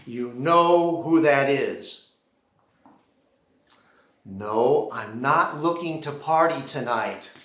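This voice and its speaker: American stage monologist, slow and echoey. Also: male voice, mid-range voice, animated, fairly bright tone. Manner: acts out one side of a phone call. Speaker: monologue performer. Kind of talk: theatrical solo stage monologue. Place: theater stage. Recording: roomy, echoing live theater sound.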